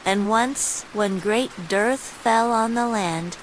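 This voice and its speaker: A woman narrating a fairytale as a bedtime story in a calm, soothing manner. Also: medium pitch, slow pace, low-quality recording. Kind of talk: scripted fairytale audiobook narration. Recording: steady rain noise over the voice. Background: rain sounds.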